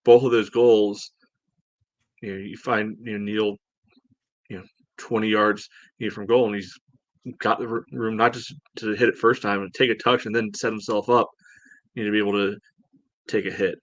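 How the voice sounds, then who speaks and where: Guy on a soccer podcast, kinda deep voice, dry recording, halting casual analysis. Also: little pitch movement, no room sound. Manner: halting, rambling delivery peppered with "you know". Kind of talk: casual sports analysis chat.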